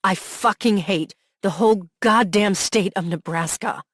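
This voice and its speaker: A woman's voice: on the low side, steady tone, measured pace, breathy edge, no room sound, clean studio sound. A woman voicing a heartbroken young man who pours out jealous, desperate love, her delivery raw and pleading. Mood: anguished. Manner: raw, pleading, rising to anguished outbursts.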